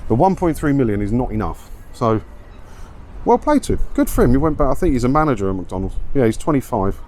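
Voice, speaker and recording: A man's voice: medium pitch, sing-song, fast, darkish tone. A man with a southeast English accent giving casual, rambling commentary as a vlogger, chatty and colloquial, repeating himself and tagging questions onto his sentences. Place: outdoors, walking around a seaside town. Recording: outdoor street ambience with traffic noise.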